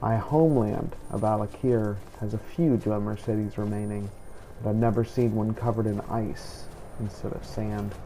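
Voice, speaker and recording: A man voicing a solemn, devout vampire hunter from a desert land, telling his journey in measured, grave journal narration for a game playthrough. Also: lowish voice, fairly even intonation, warm tone, slight background noise, lightly acted. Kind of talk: scripted in-character journal narration.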